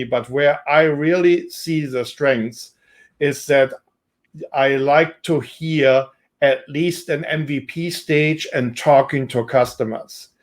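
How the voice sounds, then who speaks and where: Man with a German accent, somewhat high voice, measured businesslike pitch-style talk. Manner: measured, businesslike, with frequent ums. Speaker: investor and entrepreneur. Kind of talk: self-introduction to camera.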